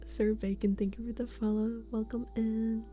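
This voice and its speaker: A woman's voice: on the high side, flat delivery, normal speed, dark tone, soft background music. A woman streamer chatting casually with her live chat, giggling often and sometimes dropping to a whisper. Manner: giggly, laughs often between remarks. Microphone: studio condenser mic.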